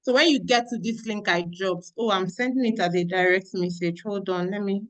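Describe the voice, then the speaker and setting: A woman from Nigeria with a Nigerian English accent, moderating an online training session in a lively, exclamatory coaching manner over a video call. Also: medium pitch, fairly quick.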